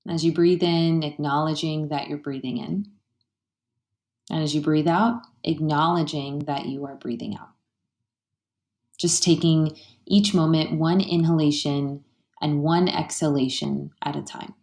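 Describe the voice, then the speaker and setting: A woman leading a guided meditation in a gentle, soothing, unhurried way, leaving pauses between phrases. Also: deep voice, fairly even intonation. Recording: roomy, echoey sound.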